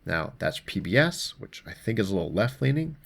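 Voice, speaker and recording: A man with a very low, creaky voice hosts a casual explainer. He talks through the news informally and conversationally, moving between reading aloud and offhand commentary. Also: very animated, fairly quick. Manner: informal, conversational, mildly profane. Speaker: host of an explainer show.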